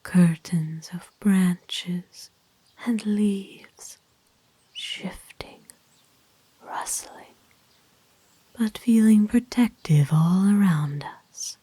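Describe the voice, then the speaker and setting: A woman voicing a kind, doting aristocratic vampire in an ASMR roleplay, speaking formally and tenderly in a soft, breathy whisper. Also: mid-range voice, dry recording. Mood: wistful, fond. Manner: formal, genteel, tender, unhurried. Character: kind, doting aristocratic female vampire owner, gently possessive.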